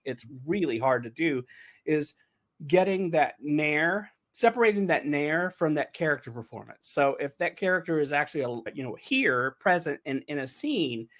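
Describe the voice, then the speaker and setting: A man's voice: on the high side, fairly bright tone. A man talking informally and casually as a podcast host about making an audio drama. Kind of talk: casual behind-the-scenes podcast chat.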